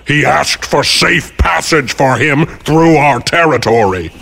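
A man voicing a huge, stern, man-eating Yeti chief with a gravelly, rumbling, menacing and formal way of speaking in a fantasy audiobook. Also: animated, a little slow, fairly bright tone, heavily acted. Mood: stern, commanding.

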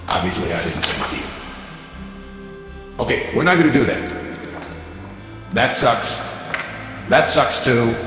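A man's voice: mid-range voice, echoey room, long reverb tail, lightly acted. An actor playing a greedy, foul-mouthed male corporate CEO giving a bombastic holiday speech in an audio drama. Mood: dismissive. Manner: bombastic, profane, dismissive. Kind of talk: audio drama comedy monologue.

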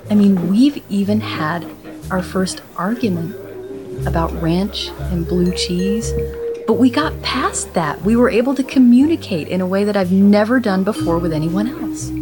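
A woman playing a soft earnest girlfriend. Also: mid-range voice, moderate pace, music in the background, close to an everyday voice. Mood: tender.

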